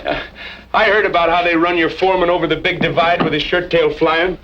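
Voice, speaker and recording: An actor playing a cocky, taunting range drifter with slangy, garrulous talk and an American Western accent in an old-time radio western. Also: male voice, fairly high pitch, flat delivery, brisk, pushed and bright. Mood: taunting, sly. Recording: muffled, faintly hissy old-time radio recording.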